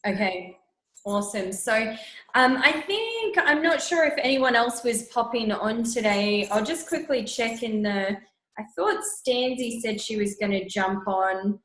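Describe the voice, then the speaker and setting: Slow-talking woman, echoey room. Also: a little high, lively intonation, a bit bright. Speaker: stream organizer. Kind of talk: casual organizing chat.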